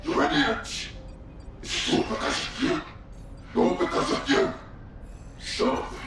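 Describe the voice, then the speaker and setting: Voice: high voice, wildly swooping pitch, slow, gravelly, heavy background noise, heavily acted. A performer voicing the tormented voices of a giant monster in a video game, ranting in broken, shrieked outbursts. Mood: anguished rage. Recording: echoing, distant, buried under loud music.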